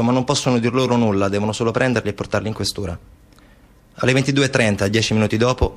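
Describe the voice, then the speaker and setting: A male actor narrating a documentary stage monologue in a clipped, factual, chronicle-like way. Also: fairly low pitch.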